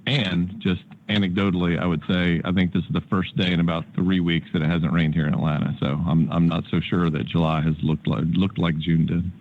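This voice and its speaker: Low-voiced American executive on a call. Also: male voice, little pitch movement, fairly quick, few pauses. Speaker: company executive on an earnings call. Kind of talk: spoken answers to analyst questions. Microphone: conference call. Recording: muffled, narrow-band conference call line.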